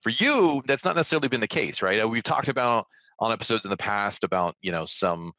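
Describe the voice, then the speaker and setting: Animated joking male show host. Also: fairly low pitch, quick pace, dry recording. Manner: jokey, animated, self-mocking. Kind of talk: playful show hosting.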